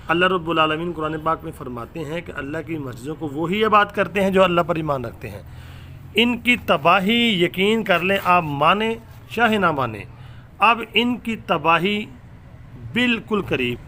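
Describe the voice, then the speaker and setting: A man's voice: on the high side, sharp tone, slight background noise. A man delivering an earnest monologue to viewers as a religious vlogger, in an emphatic, preacherly manner.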